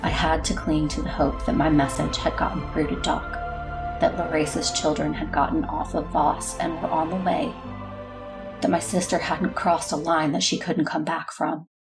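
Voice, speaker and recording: A low, gravelly voice of a brooding warrior woman sits over clear music. She narrates a space audio drama gravely, with inward resolve. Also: flat delivery, moderate pace, poor audio. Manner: grave, introspective. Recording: music under the voice.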